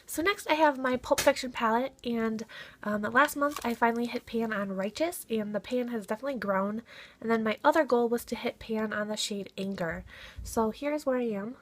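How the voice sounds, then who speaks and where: A woman's voice: a little high, moderate pace. A young woman in her twenties with a slight cold, chatting casually through a makeup progress update. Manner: chatty, talks through products she shows; drops to a quiet voice to avoid being overheard. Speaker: beauty vlogger.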